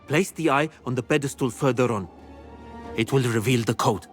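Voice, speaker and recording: A man's voice: medium pitch, moderate pace, soft background music, natural voice. A man playing a solemn keeper of secrets. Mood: grave, earnest. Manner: formal, old-fashioned speech. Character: male keeper of ancient secrets, solemn and formal. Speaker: game character. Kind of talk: video game cutscene voice acting.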